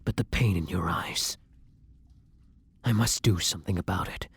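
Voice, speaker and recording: A man playing a kind, tormented vampire in an intimate roleplay audio drama, murmuring softly and breathily in formal, old-fashioned phrasing. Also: sits low, normal speed, creaky, breathy edge, no room sound, full character voice. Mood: tender, worried. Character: kind, tormented male vampire, tender and protective lover.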